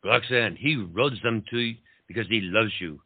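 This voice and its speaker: A man playing an earnest gentleman officer who speaks deliberately and declaims in a period stage drama. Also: on the low side, no room sound, phone-quality sound. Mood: earnest, pleading. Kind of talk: stage comedy acting.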